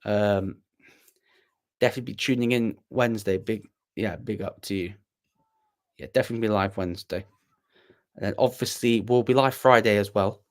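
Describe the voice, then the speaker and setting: A man's voice: on the low side, fairly even intonation, normal speed, long pauses. A young man hosting a casual livestream, rambling and self-deprecating as he answers viewers with plenty of ums and restarts. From Wales. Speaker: livestream host.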